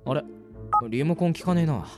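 A man's voice: a little high, animated, brisk, music in the background, lightly acted. Guy playing a cheeky confused slangy kid in a radio play, muttering to himself, voice swinging all over. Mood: casual grumbling. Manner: casual slangy muttering to himself, exaggerated reactions. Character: slangy cheeky boy, bewildered at waking as Peter. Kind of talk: hobby radio drama acting with self-talk monologue.

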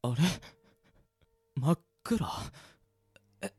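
A man's voice: on the high side, expressive, very slow, gravelly, a little mellow, no echo, studio quality, full character voice. A man playing a timid, confused young captive in a radio drama, polite and hesitant. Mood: confused, frightened.

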